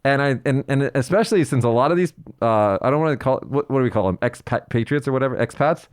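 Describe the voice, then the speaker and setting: A man co-hosting a casual podcast chat, blunt and animated, swearing freely. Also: mid-range voice, fast, smooth, no room sound, studio quality. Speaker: podcast co-host.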